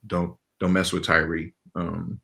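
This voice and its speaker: A man, talking as an interview guest, relaxed, laughing often and pausing on fillers. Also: low-pitched, gravelly. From Inglewood, California. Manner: laid-back, laughs often, many fillers. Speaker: interview guest, animation director. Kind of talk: casual interview chat.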